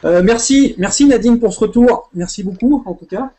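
A man hosting a video call, speaking in a relaxed way through his laptop microphone. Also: high voice, normal speed, a crowd in the background. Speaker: host. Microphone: video call, laptop mic.

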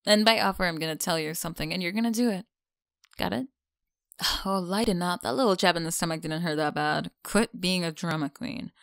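A woman's voice: on the low side, a little fast, gravelly, no room sound, clean studio sound. A young woman with an American accent playing a mean, teasing college bully in an ASMR audio roleplay, mocking and slangy. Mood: bossy, dismissive. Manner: mocking, sighing, casual slangy talk. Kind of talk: ASMR audio roleplay, one-sided dialogue.

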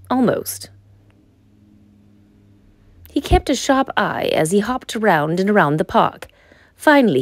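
Female storyteller, expressive children's read-aloud, slow pace, very lively intonation, dry recording. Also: mid-range voice, no room sound.

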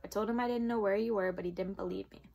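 A woman reading the lines of a rough, teasing, streetwise teenage male hoodlum from a novel, husky and brusque. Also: mid-range voice, steady tone, quick pace, a little mellow. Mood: matter-of-fact. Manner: slangy, brusque.

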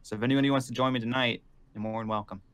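Male player as rule-shunning survivor ringleader: quick, casual, dismissive, average pitch. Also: steady tone, a little hiss or hum, lightly acted. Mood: casual, organizing. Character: skeptical, independent male leader of a survivor gang. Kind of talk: improvised Minecraft zombie roleplay, casual chat.

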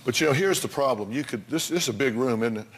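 A man's voice: mid-range voice, quick pace, slight background noise. An older man hosting a televised talk show, bluntly lecturing and needling his guests in a studio. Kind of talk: confrontational talk show counseling. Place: TV studio with audience.

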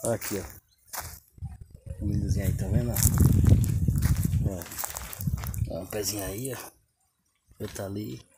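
A man's voice: on the low side, fairly even intonation, unhurried, pauses often. A man, casually and enthusiastically showing his sunny backyard garden on a phone camera while walking. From Northeast Brazil. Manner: enthusiastic, repeats "que maravilha" and "olha". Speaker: vlogger. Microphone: phone camera held while walking. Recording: outdoor phone audio with clear background noise.

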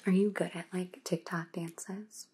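Aloof trendy young housemate played by a woman, ASMR, mellow unhurried drawl, some hiss. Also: on the low side, flat delivery. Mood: bossy, casual. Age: young adult. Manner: casual valley-girl drawl full of "like". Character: aloof, trend-obsessed young female housemate among vampires. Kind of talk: ASMR roleplay.

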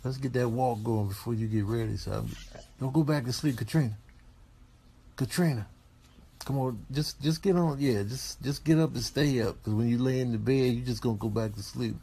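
A man voicing a patient pet dog, gently and insistently nagging in a hushed comic animal voice-over. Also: medium pitch, moderate pace, husky.

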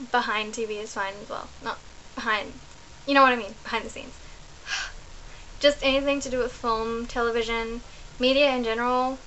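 A woman's voice: a little high, sharp tone, slight background noise, poor audio. A young woman from New Zealand, chatting to camera in a rambling, self-correcting way from her bedroom, recorded on a laptop webcam. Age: young adult. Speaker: vlogger. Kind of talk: casual chat to camera. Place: small bedroom indoors.

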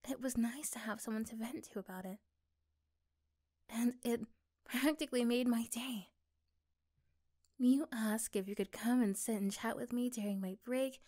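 A woman playing a gentle, affectionate young girlfriend in an ASMR roleplay, speaking softly and hesitantly with tender pauses. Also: on the high side, lightly acted. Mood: fond, reminiscing. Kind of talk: ASMR roleplay, intimate one-sided dialogue.

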